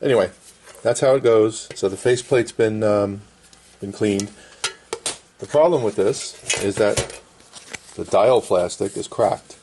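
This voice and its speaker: A man's voice: fairly low pitch, unhurried, pauses often, dark tone, a little hiss or hum. A middle-aged man casually explaining a hands-on repair at an indoor workbench in short, plain phrases. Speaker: vintage radio restorer and presenter.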